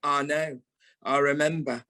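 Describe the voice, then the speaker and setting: An actor playing a sentimental, camp middle-aged British performer in an audio play, with a theatrical, affectionate manner. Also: male voice, on the high side, steady tone, a little slow, pushed and bright. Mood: earnest, consoling. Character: sentimental, camp older male performer, wry and fond.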